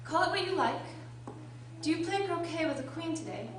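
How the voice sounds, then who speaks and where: A woman's voice: very high-pitched, animated, brisk. A woman playing a mad, grinning cat who talks in teasing, matter-of-fact riddles in a storybook radio drama. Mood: unruffled. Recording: echoey room, distant mic, faint noise.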